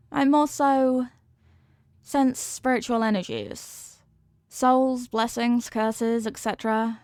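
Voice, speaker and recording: Woman acting as a headless horsewoman girlfriend, higher-pitched, very dry sound, sweet, sheepish, clumsy, affectionate, intimate ASMR roleplay. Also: no echo. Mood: gentle, explaining. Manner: soft-hearted, sheepish, affectionate, rambling explanations.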